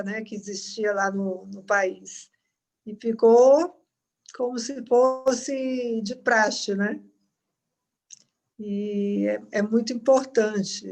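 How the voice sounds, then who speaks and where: A woman dermatologist from Salvador, speaking earnestly on a video call with reflective pauses. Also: medium pitch, a little mellow, poor audio. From Salvador, Bahia, Brazil.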